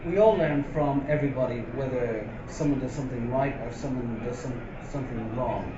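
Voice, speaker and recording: A man's voice: mid-range voice, brisk. A man hosting a live audience interview, asking questions in a formal way. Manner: formal, introducing and prompting questions. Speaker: interviewer, event host. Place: event hall with a live audience. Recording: roomy, dull live hall recording with noise.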